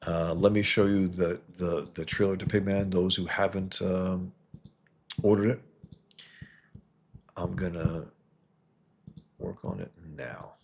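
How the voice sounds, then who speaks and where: Low, husky man chatting. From New York, USA. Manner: halting, restarts and repeats words. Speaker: livestream host and comic artist. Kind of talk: casual livestream chat reading viewer comments.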